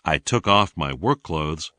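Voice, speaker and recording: Low male voice, slow deliberate read-along narration, lively intonation, dry recording. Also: dry recording. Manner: deliberate, clearly enunciated teaching delivery. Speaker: narrator of an English lesson.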